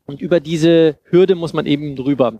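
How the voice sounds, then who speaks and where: A man's voice: fairly high pitch, steady tone, moderate pace, mellow, muffled recording. A man in his thirties explaining things informally in a festival talk, speaking into a headset mic. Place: small dim lounge room at a festival.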